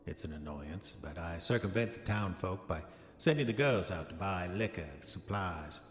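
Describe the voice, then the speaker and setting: An actor playing an arrogant, dissolute diarist of the 1910s, speaking formal old-fashioned entries in a pompous manner for a horror audio drama. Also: male voice, low-pitched, expressive, normal speed. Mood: boastful. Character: arrogant, dissolute 1910s male diarist, self-satisfied, increasingly haunted. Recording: echoey long reverb, music underneath. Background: music.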